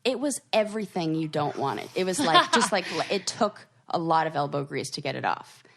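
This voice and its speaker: A chatty woman co-hosting a podcast, with a lower voice that she lets jump up when a story gets going. She talks loosely, piles up fillers like "like", and tells stories with lots of animation. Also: big pitch swings, brisk, vocal fry, fairly bright tone. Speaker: podcast co-host. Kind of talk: casual chat.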